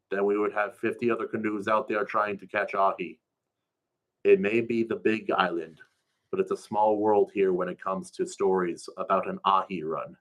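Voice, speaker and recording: Male storyteller, lowish voice, colloquial first-person narrative read live. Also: a bit bright, rough recording. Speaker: storyteller reading a story aloud.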